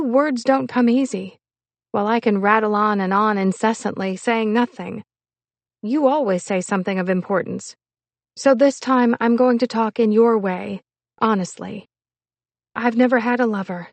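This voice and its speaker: An audiobook heroine, young and in love, acted out by a woman reader. She is earnest and hesitant, pleading her case with insistent emotion. The voice sits slightly high in a very dry recording. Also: dry recording. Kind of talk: audiobook character dialogue.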